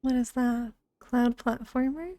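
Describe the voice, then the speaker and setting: A woman's voice: on the high side, takes it slowly, warm tone, dry recording. A woman giving casual gameplay commentary as a streamer, musing aloud in short reactions. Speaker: game streamer.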